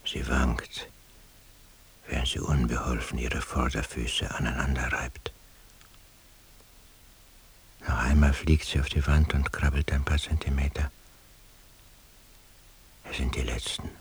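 An actor playing a weary, anguished, sleepless man in a radio drama monologue, morose and minutely observant, in a breathy, half-whispered, husky voice. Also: a bass voice, level tone, unhurried, pauses often, a little hiss or hum. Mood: morbid, absorbed.

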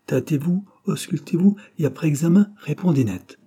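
Storybook doctor, middle-aged man, voiced by a male narrator: bossy yet kindly, blunt questioner, husky tone. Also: a little high, animated, normal speed, warm tone, pitched above the performer's own voice. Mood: probing, blunt. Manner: curt, commanding, firing questions.

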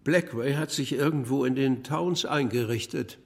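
A male actor as a wry club regular in an audio drama. His mid-pitched voice creaks as he chips in with laid-back, gossipy remarks. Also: moderate pace, natural voice. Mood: wry, musing. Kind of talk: naturalistic German audio drama acting.

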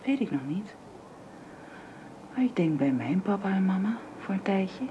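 An actress playing a gentle, anxious young housekeeper and nanny in a radio drama, speaking hesitantly. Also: female voice, medium pitch, animated, measured pace, close to an everyday voice. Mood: sad, gentle. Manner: hesitant, deferential to employer. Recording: dull, noisy old radio recording.

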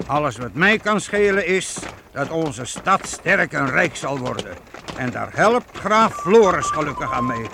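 An actor playing a proud, defiant master weaver who leads armed townsmen in a medieval radio play, assured and paternal. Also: male voice, on the high side, sing-song, measured pace, gravelly, background noise, exaggerated voice. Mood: proud, instructive. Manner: assured, explanatory, addresses youngsters paternally.

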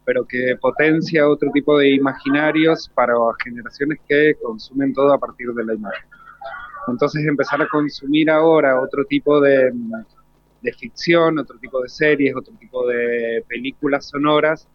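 A man's voice: medium pitch, steady tone, normal speed, dry recording. Articulate Argentine man, muffled radio sound. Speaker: teacher being interviewed. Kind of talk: explanatory interview talk. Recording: dull, dry radio sound.

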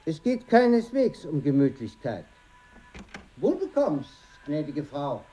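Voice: medium pitch, sing-song, takes it slowly, mellow, slight background noise. Stiff, ceremonious hotel waiter. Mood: officious. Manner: ceremonious, officious, over-polite. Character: formal, unctuous, stiffly correct luxury hotel room-service waiter. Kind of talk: radio play acting.

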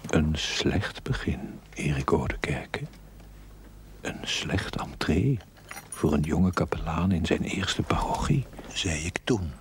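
A stern inner voice in a television drama, very low and half-whispered. He scolds a chaplain deliberately and theatrically, full of reproach. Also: expressive, full character voice. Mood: grave, scolding.

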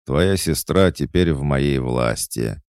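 A gloating demon, as a man puts him on, taunting his victims with slow menace. The voice is dark and gravelly, close and dry. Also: extremely low pitch, animated, dry recording, exaggerated voice. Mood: menacing. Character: mocking male demon, gloating, bloodthirsty.

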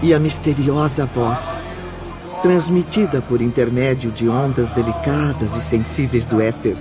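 A Brazilian man narrating a spiritual radio drama solemnly, with measured dramatic pauses. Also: mid-range voice, lightly acted. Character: solemn male storyteller of a spiritual tale. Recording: music bed behind the voice.

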